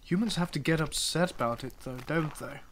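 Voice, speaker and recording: A man's voice: a little high, brisk, a bit bright, slight background noise, close to an everyday voice. A man playing a dry, unflappable dwarf farmer in a fantasy audio drama, matter-of-fact in manner. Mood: calm.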